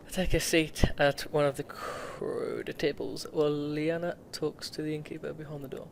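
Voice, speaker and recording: Higher-voiced man reading a game aloud. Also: normal speed, vocal fry, slight background noise. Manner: stumbling over read text, joking asides. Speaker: video game streamer. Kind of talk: reading visual novel aloud with casual commentary. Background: game music.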